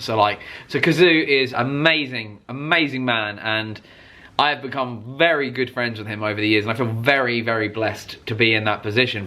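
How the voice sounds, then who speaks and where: British male car vlogger, bright punchy voice, casual rambling storyteller, keen, slangy and sweary. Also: mid-range voice.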